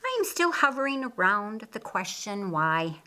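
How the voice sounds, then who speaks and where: A woman's voice: mid-range voice, very animated, a little slow, bright, forceful tone, a little hiss or hum. Woman reading as thoughtful, curious man full of questions. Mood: pensive, curious. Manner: pondering, questioning.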